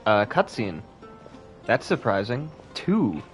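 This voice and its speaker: Very low-voiced woman commentator, animated. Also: takes it slowly, soft background music. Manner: offhand, muttering to self, swearing. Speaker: gaming commentator. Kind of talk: casual reaction commentary over gameplay.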